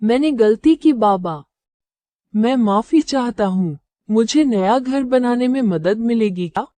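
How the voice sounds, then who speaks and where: Woman acting male crow, cartoon fable, mellow tone, slow delivery, smooth talker, matter-of-fact, dry recording. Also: fairly high pitch, expressive, dry recording. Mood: apologetic. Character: sly, smooth-talking male crow, later friendly. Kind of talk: cartoon moral-story character dialogue.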